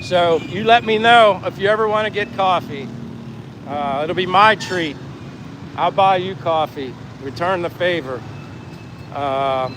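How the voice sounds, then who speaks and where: A man chatting casually on a walking livestream, reading out viewer comments and thanking people in a friendly, effusive way. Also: a little high. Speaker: livestream host. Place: outdoors on the move, near traffic. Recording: clear outdoor traffic and engine noise.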